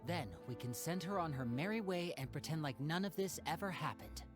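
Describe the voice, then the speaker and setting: A man voicing a calm, protective young magician who acts as an older brother. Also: fairly high pitch, fairly quick, close to an everyday voice. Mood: earnest. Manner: calm, gentle and earnest. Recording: game cutscene audio with background music. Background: game music.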